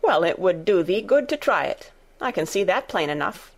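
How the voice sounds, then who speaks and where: A good-tempered young maid with a broad Yorkshire accent chatters in a homely, outspoken way, as a woman narrator puts her on. The voice carries a slight gravelly edge, and she sounds plain-spoken and warm-hearted in this storybook reading. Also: medium pitch, animated, moderate pace, natural voice. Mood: indignant.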